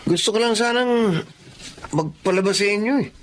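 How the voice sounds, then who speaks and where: A man's voice: sits high, moderate pace, a little hiss or hum, natural voice. An actor playing an evasive, pleading young man in a Filipino drama. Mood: polite, furtive. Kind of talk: Filipino radio-style drama acting.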